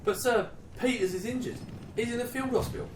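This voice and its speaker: A man's voice: very high-pitched, fairly quick, recorded from a distance. A man playing a dutiful, careworn British army sergeant in a war radio play, firm, earnest and paternal. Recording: roomy, off-mic, faint background noise.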